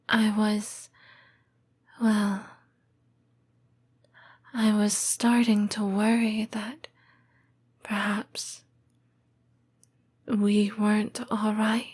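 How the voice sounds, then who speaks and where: Lamia girlfriend, female actress, ASMR roleplay: tender and lonely, hushed breathy whisper, drawn-out hesitant pacing. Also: mid-range voice. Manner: slow, hesitant, intimate delivery with long pauses. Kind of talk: soft-spoken ASMR roleplay.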